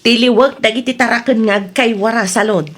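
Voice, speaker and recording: A woman's voice: on the high side, fairly quick, fairly bright tone. A woman with an Ilocano Filipino accent playing an eager, money-chasing village councilor in a comedy audio drama. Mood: reassuring. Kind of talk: comedy radio drama acting.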